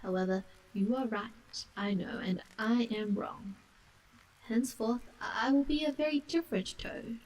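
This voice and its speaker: A woman's voice: on the high side, a little slow, a little mellow, rough recording. A woman voicing a vain, self-pitying male toad in a piteous, theatrically contrite manner for a storybook. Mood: mournful, contrite.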